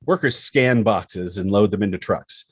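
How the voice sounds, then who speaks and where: Husky guy reading out screenplay directions, lowish voice, dry close sound. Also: normal speed, dry recording. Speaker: narrator. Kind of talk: scripted screenplay narration.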